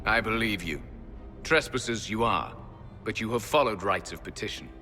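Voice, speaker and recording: Game elf sentinel: proud, solemn, archaic diction, lowish pitch, faint music behind. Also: male voice, expressive, bright, forceful tone. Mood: resolute. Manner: formal, archaic, measured phrasing. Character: proud, weary, ancient elven sentinel, stern and solemn. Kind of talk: dramatic video game acting. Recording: long hall reverb, music underneath.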